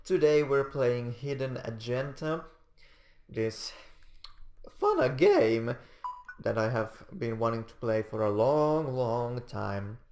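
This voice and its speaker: Young guy rambling through a game, gravelly voice, echoey room. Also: mid-range voice, big pitch swings, slow pace, a little mellow. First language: Finnish. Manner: joking, rambling, laughs often. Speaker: gaming channel host. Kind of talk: casual let's-play commentary.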